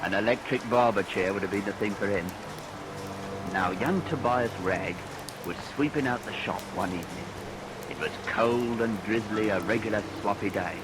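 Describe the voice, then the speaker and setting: An actor playing a folksy, gossipy storyteller who narrates a vintage radio play in colloquial speech, relishing the grisly tale. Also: male voice, fairly low pitch, normal speed, heavy background noise, natural voice. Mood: wry relish. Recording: vintage radio recording with rain.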